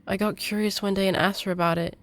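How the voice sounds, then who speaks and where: An actress playing an earnest young female exorcist in an audio drama, halting and emotional. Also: mid-range voice, steady tone, natural voice. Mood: somber recollection. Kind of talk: intimate audio drama acting.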